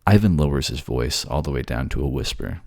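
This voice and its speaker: Deep-voiced man narrating softly. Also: expressive, brisk, dry recording, clean studio sound. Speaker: voice actor narrating. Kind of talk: intimate second-person story narration, ASMR.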